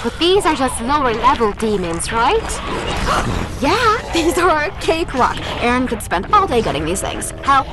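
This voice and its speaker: A woman voicing an excitable, foul-mouthed, fiercely loyal young fan and chat moderator in a horror audio drama. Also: normal speed. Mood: boastful, eager. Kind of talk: anime-style action horror audio drama. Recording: clear rumbling battle noise behind.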